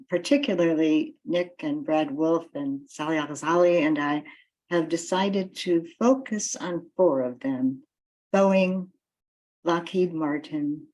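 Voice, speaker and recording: A woman activist giving an informal campaign talk, speaking in deliberate, halting phrases with emphatic stresses on key words. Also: low-pitched, darkish tone, low-quality recording.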